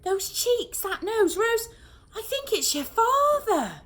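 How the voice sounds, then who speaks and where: A woman's voice: a little high, sing-song, brisk, pitched above the performer's own voice. A woman voicing a flustered, emotional mother who stammers when surprised. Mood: overwhelmed. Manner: breathless, stammering.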